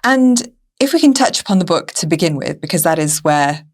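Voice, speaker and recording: A woman's voice: on the low side, lively intonation, brisk. A woman hosting a podcast interview in a conversational style. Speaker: podcast host.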